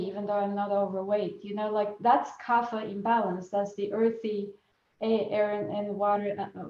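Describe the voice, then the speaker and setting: A woman's voice: fairly high pitch, darkish tone. Woman teacher on a roomy muffled call. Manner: explains patiently, restarts phrases, light laughs. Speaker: Ayurvedic cooking teacher. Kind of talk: teaching webinar over video call. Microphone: video call.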